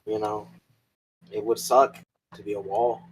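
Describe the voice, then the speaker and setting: A man's voice: on the low side, steady tone, a little slow, poor audio. A man playing a tabletop roleplay, bantering casually with slangy teasing, with some background noise. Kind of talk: casual banter during a game.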